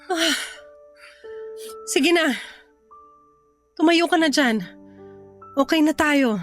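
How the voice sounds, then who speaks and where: A woman's voice: high voice, very slow, soft background music. A woman playing a hurt, weary young working girlfriend in an emotive Filipino radio drama. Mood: tired, relenting.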